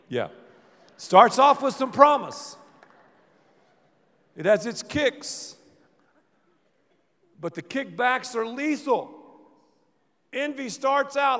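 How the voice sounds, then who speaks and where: High-voiced preacher in a big hall. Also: male voice, fairly bright tone. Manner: playful, dramatic preaching with sudden drops to a low murmur. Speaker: pastor. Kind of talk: sermon. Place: large church hall. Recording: reverberant church hall.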